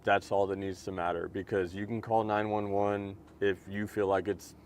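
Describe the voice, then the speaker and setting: Low-pitched man explaining calmly. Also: moderate pace. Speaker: fire marshal's office lieutenant, interview guest. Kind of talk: conversational interview, explaining. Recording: noticeable steady background noise.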